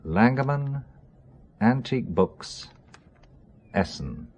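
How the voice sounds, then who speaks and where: An actor playing a self-assured, urbane British spy in a radio thriller, explaining things confidently. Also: male voice, mid-range voice, lively intonation, slow, darkish tone, lo-fi sound. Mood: assured, focused. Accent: British English.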